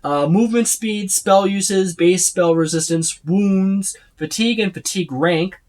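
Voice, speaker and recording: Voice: mid-range voice, a little slow, a little mellow. Casual, rambling tabletop rulebook reviewer. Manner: rambling, repetitive, emphatic itemizing. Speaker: tabletop game book reviewer. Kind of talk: casual unscripted overview of a rulebook.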